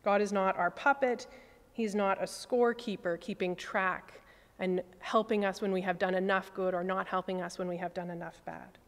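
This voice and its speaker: A woman's voice: mid-range voice, steady tone. Woman preaching in a reverberant cathedral. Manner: measured, reflective preaching with soft falling phrase endings. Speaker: priest. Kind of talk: sermon. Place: cathedral chancel at a lectern. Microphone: stand mic on lectern. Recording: long church reverb.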